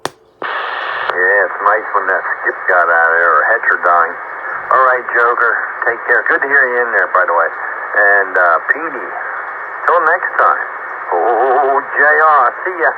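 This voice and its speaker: Male radio hobbyist, lowish pitch, casual chat, muffled two-way transmission. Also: expressive, unhurried. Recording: dull, noisy CB radio signal.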